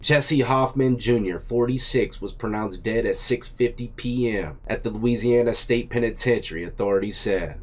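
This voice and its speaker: A man's voice: mid-range voice, moderate pace, hardly any pauses. Congested man, casual crime talk. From Virginia. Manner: blunt, slangy, animated asides. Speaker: true crime channel host. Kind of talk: casual true crime commentary.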